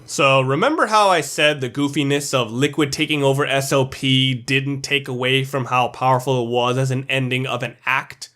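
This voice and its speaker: A man's voice: medium pitch, fairly even intonation, moderate pace, hardly any pauses, a bit bright. A man delivering scripted, opinionated video commentary as a countdown reviewer, blunt and sprinkled with swearing.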